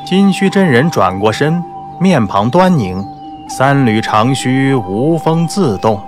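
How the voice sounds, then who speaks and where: A man narrating a novel as a scripted audiobook, in a measured, literary storytelling manner. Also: medium pitch, big pitch swings. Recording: soft background music under the voice.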